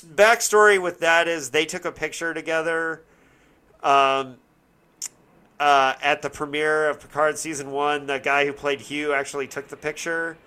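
A man's voice: on the high side, bright, forceful tone, smooth. A man hosting a casual discussion, rambling and correcting himself as he searches for words. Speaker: podcast host. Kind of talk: casual chat, TV show discussion.

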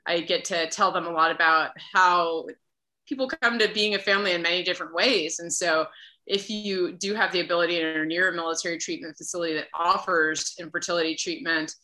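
A woman's voice: lowish voice, fairly even intonation, fairly quick, bright, forceful tone. An American woman in her thirties telling her own story conversationally as an interview guest. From Massachusetts, United States. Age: 30s. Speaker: podcast guest, military physician.

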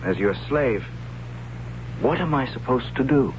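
An actor playing a cheerful but hapless young man, the son of a high priest, who speaks in an easygoing, self-deprecating and hesitant way in a 1970s radio drama. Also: animated, measured pace, noisy background, natural voice. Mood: meek. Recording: dull old radio broadcast with music behind.

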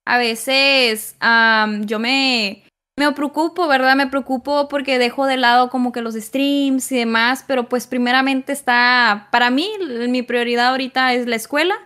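A woman's voice: high voice, fairly even intonation, measured pace, sharp tone. A woman, answering interview questions hesitantly with many fillers. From Mexico. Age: 20s. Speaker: content creator guest.

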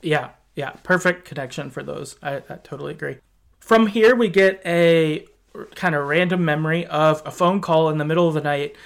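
A man's voice: a little high, normal speed, creaky. A man hosting a podcast, talking casually and a little hesitantly as he recaps a video game's story. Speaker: podcast host.

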